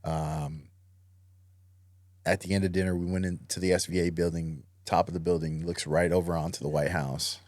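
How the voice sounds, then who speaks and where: A man's voice: low voice, little pitch movement, normal speed, darkish tone, no room sound. A man talking casually as a podcast guest, easygoing, with lots of "you know" and fillers. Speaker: podcast guest, Marine veteran. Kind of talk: casual interview conversation.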